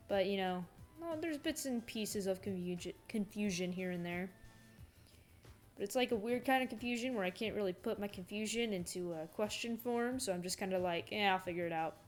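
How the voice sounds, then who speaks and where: A woman giving casual reaction commentary as a gamer, rambling and thinking aloud. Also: medium pitch. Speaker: reaction video creator and gamer. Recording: faint music behind.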